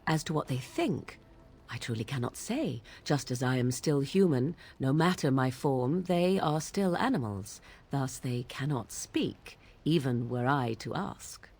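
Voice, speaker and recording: A woman's voice: extremely low pitch, lively intonation, unhurried, darkish tone, slightly rough, exaggerated voice. An actress playing an aloof, sardonic young witch from the wilds, speaking in a formal, archaic and haughty way in studio game dialogue. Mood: cool. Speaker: game companion character.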